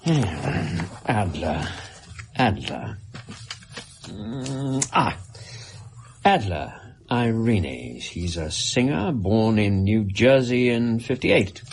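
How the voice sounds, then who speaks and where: A very slow, muttering doctor. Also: male voice, lowish voice, sing-song, slight background noise, exaggerated voice. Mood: absorbed, searching. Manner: muttering, halting, deliberate. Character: Doctor Watson, obliging male colleague leafing through notes. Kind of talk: radio drama acting.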